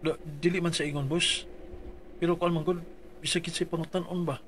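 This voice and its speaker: A man's voice: on the high side, flat delivery, brisk, a little hiss or hum. A man reading out his dramatized complaint letter for a radio advice show, earnest and pleading.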